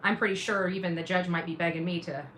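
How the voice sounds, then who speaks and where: A woman's voice: on the low side, steady tone, fast, fairly bright tone. A woman doing casual livestream commentary to her viewers, speaking emphatically, repeating phrases for weight and adding sarcastic asides. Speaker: independent journalist and livestream host. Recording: clear background noise behind the voice.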